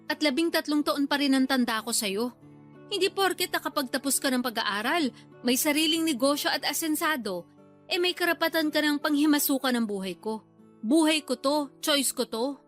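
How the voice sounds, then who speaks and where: High voiced fed-up older sister, complains a lot, radio drama w music under it. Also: female voice, lively intonation, normal speed, a bit bright. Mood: offended, defensive. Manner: complaining, defensive, blunt. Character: aggrieved, hard-up older sister, struggling mother of many children. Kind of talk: Filipino radio drama dialogue.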